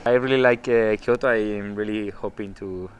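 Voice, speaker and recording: A man's voice: fairly low pitch, steady tone, normal speed, dull audio. Man in his thirties from Spain. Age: 30s. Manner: enthusiastic, hesitant, restarts his phrasing. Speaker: tour guest. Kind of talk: casual chat. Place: outdoors on busy town streets. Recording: outdoor street noise.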